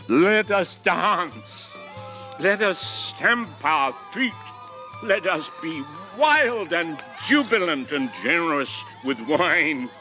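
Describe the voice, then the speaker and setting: A man's voice: medium pitch, bright, forceful tone. An actor playing an old former king and grandfather, a solemn, impassioned convert to a god, in a classical radio drama. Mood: ecstatic. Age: old, past retirement. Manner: solemn, impassioned, theatrical delivery.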